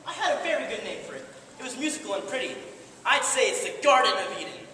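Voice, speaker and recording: A woman's voice: a little high, sing-song, fast talker, bright, forceful tone. A young woman playing a grumpy, solitary, put-upon first man in an amateur stage play, complaining in an exasperated, pompous way. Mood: pompous, aggrieved. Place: large echoey hall, live stage performance. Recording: echoey hall, distant mic, noisy.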